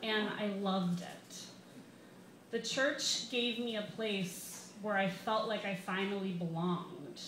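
A young American woman reading a comic personal essay to a live audience, with wry, deliberate emphasis. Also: medium pitch, fairly bright tone. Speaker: stand-up comedian reading an essay. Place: small brick-walled bar, indoors. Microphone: stand microphone, camera recording. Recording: roomy live bar recording, some background noise.

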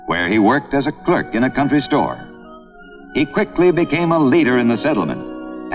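A man reads formal narration for a mid-century educational film. His mid-pitched voice moves widely in intonation. The soundtrack is dull and old, with music playing behind him.

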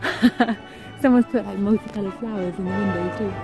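A woman's voice: medium pitch, normal speed. A woman chatting casually while out and about. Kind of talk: casual chat on location. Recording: muffled field recording under music.

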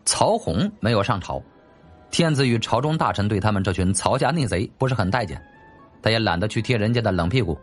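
A male narrator tells a historical tale in a dramatic, scripted style. His pitch moves animatedly, and there is soft music beneath the slightly less crisp voice. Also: expressive, a little fast. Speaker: audiobook narrator.

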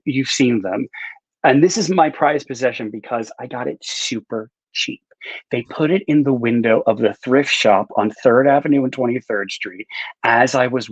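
A man's voice: medium pitch, husky, no room sound. A middle-aged man, a novelist telling personal stories casually on a video call, often restarting his sentences as he goes. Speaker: novelist, interview guest. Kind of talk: casual conversational storytelling. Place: home room with bookshelves, indoors. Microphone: video call, no visible microphone but earbuds. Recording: slightly dull webcam call audio.

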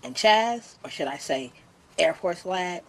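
A performer voicing a gloating puppet villain who hijacks a television broadcast, taunting with drawn-out, emphatic phrasing in theatrical skit style. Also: mid-range voice, animated, fairly bright tone, a little hiss or hum. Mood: smug taunting.

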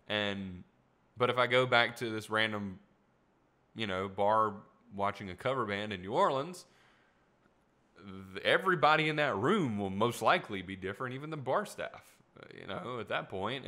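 A man's voice: lowish voice, pushed and bright. A young man hosting a casual solo podcast in a small room at home, talking in a rambling, reflective way with many fillers, his voice a little tired. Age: young adult. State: voice tiring. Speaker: podcast host. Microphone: studio mic in front of him.

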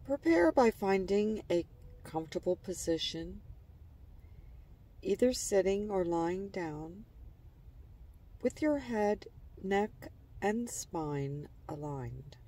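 A woman narrating a guided imagery relaxation in a calm, gentle, soothing manner, letting phrase endings trail softly. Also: medium pitch, slow pace, pauses often, recorded from a distance.

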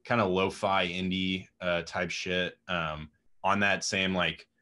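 Laid-back raspy young man on a video call. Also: sits low, little pitch movement, slow, pushed and bright. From Austin, Texas. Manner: laid-back, rambling, lots of fillers. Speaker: guest musician. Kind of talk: casual interview chat. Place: home room with music gear. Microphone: video call webcam.

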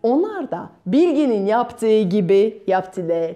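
A middle-aged woman telling a children's fairy tale expressively, with dramatic pauses. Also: medium pitch, sing-song, dark tone. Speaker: children's storyteller. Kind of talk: performed fairy-tale storytelling. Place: bright room at home by a window. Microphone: small clip-on lapel mic.

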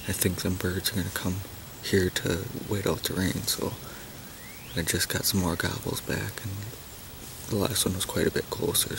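A man in his thirties filming himself on a hunt in a rainy cedar swamp, talking quietly into a nearby camera. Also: creaky. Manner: hushed, low-key field commentary. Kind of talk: self-filmed outdoor vlog. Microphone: camera on a tripod at close range. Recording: outdoor camera mic with rain noise.

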